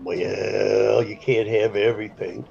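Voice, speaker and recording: A man's voice: on the low side, little pitch movement, slow, vocal fry, a little mellow, husky. Man in his 70s, South Side Chicago accent, folksy rambling pool commentary, dull lo-fi sound.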